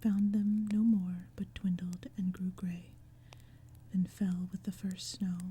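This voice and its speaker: Woman, ASMR poetry reader, breathy whisper, very mellow tone, even and gentle delivery, faint fire and forest sounds. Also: medium pitch. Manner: gentle, measured, hushed storytelling. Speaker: audiobook reader. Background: crackling fire, forest ambience.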